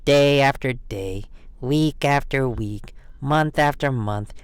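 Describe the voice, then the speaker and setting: A man reading a children's picture book aloud as storyteller, expressive and given to dramatic pauses. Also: mid-range voice, smooth, no room sound.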